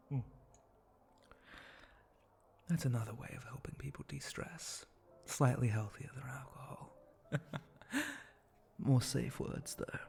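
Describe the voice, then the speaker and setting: A gravelly, mellow male monster bartender, acted by a man, flirting slowly and teasingly in an intimate ASMR roleplay. His voice is breathy, often slipping toward a whisper, with suggestive pauses and hums. Faint noise sits behind him. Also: mid-range voice. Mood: sly, flirtatious. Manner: slow, languid, suggestive drawl with pauses and hums. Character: flirty, teasing spider-monster bartender, smooth-talking seducer.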